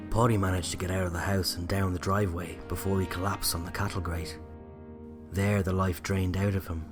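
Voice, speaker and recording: Very deep-voiced Irish male storyteller. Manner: measured, reflective. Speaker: writer and narrator. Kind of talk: scripted true-crime storytelling.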